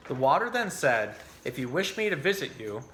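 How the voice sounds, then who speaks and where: Guy with a bright clear-cut voice doing a casual animal storytime, explains stuff like a zookeeper, mid pitch. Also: very animated, moderate pace, a little hiss or hum, rough recording. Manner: informal, explanatory. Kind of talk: casual educational talk and storybook reading.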